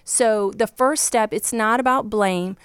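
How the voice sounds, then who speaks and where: A woman's voice: fairly high pitch, unhurried, smooth, no echo. A woman from Virginia with a Southern accent, a divorce coach speaking earnestly and passionately as a podcast guest. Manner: earnest, passionate, speaks in long run-on explanations.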